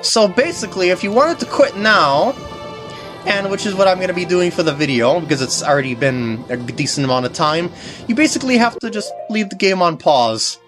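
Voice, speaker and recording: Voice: mid-range voice, animated, fairly quick, over music. A game walkthrough host giving casual, rambling commentary with mock exasperation.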